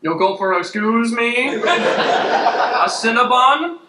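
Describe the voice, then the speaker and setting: A man's voice: extremely high pitch, expressive, takes it slowly, heavily acted. A man playing a woman's own body as a sarcastic, scolding disembodied voice in an improv comedy scene. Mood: mocking. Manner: stern, mocking, taunting. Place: live improv stage with audience. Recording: echoey stage, distant mic, loud noise.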